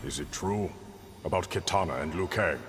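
Man acting dignified warrior ruler, low voice, formal, solemn, video game, long reverb. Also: normal speed, lightly acted. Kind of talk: video game fighter intro dialogue. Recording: cavernous reverb, noisy game ambience.

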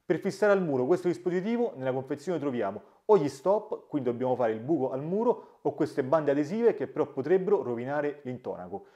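A man's voice: a little high, sing-song, fairly quick, hardly any pauses, warm tone. A man, giving a hands-on DIY tutorial as the host, recorded indoors in a small room. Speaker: host of a DIY smart-home channel. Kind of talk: hands-on tutorial narration to camera.

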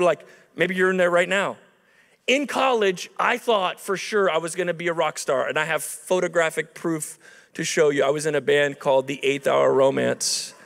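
A man's voice: high voice, a bit bright. A man in his thirties preaching a chapel sermon into a handheld mic, conversational with emphatic peaks. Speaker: chapel speaker. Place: large dark auditorium stage at a lectern. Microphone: handheld microphone. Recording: long reverb of a large hall.

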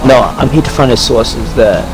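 Halting male gamer, mid-pitched voice. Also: low-quality recording. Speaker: player in a gaming roleplay. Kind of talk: improvised in-game roleplay chat. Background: background music.

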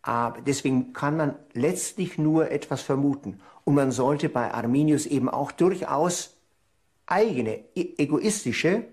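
A man of average pitch comments as an expert in a documentary interview. He talks carefully, qualifying his statements as he goes. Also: unhurried, darkish tone.

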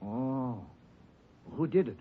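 Glib union steward, bouncy lively voice, dull old radio sound. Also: male voice, mid-range voice, takes it slowly, husky. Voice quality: breezy, glib. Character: evasive male union steward, breezy and glib. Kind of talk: 1940s radio acting. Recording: dull 1940s radio recording.

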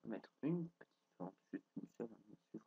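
Young man with a head cold, husky, dark-toned, hesitant gameplay talk, dry close sound. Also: fairly low pitch, sing-song, quick pace, no echo, dull audio. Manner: thinks aloud, frequent fillers and hesitations. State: has a cold, stuffy nose. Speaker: gameplay video creator. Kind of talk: casual commentary while building in a game.

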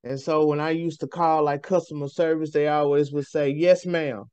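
A man's voice: a little high, steady tone, moderate pace, a little mellow, clear, resonant tone, dry recording. A man with a light voice, co-hosting an interview over a video call and telling easygoing stories. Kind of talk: casual interview chat. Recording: dull lo-fi video call audio.

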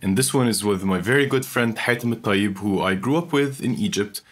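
A man's voice: on the low side, fairly quick. A man hosting a podcast, talking earnestly and reflectively with an old friend and laughing now and then. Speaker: podcast host. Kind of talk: casual interview chat.

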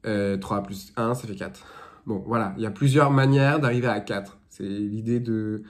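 A man's voice: fairly low pitch, moderate pace. A man in his thirties with a cold talks in a loose, rambling way, stacking fillers and false starts. He is recorded in a small plain room, close to a phone or camera. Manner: rambling, full of fillers and restarts. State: has a cold. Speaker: spiritual guidance vlogger. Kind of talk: casual chat, then reading aloud from a dream dictionary. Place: small indoor room with bare walls.

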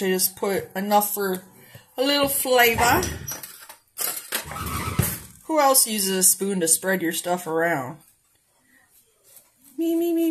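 A woman casually narrating a cooking demo in her home kitchen, chatty and homey, talking straight to her viewers. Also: medium pitch. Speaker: cooking vlogger.

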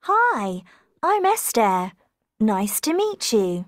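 A friendly eighteen-year-old girl from Hungary in a course-book listening dialogue. She has a slightly high, lively voice and speaks very slowly. The recording is dry and close. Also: no room sound, full character voice. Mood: plain. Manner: slow, careful spelling out letters. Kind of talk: scripted textbook dialogue.

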